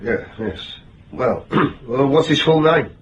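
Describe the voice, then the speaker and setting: A man's voice: mid-range voice, expressive, takes it slowly, recorded from a distance, poor audio. A British actor playing a blunt, wisecracking male agency boss in a radio drama, talking in emphatic, colloquial, broken-off phrases. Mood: musing.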